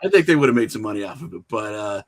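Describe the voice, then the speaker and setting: A man's voice: fairly low pitch, animated, rapid-fire. A man talking informally as an interview guest, telling anecdotes in a self-deprecating, conversational way. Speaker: podcast guest, indie filmmaker.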